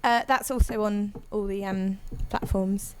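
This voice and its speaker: A woman's voice: medium pitch, fairly even intonation, a little slow, darkish tone, a little hiss or hum. A singer-songwriter, a woman, chatting casually and rambling with many ums as a guest on a live audio room call. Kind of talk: casual chat after a live set.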